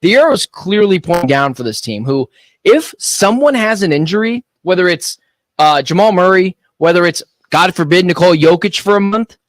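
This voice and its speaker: A man co-hosting a sports betting radio show, animated and opinionated with quick joking asides, speaking into a studio microphone. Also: high-pitched, dry recording.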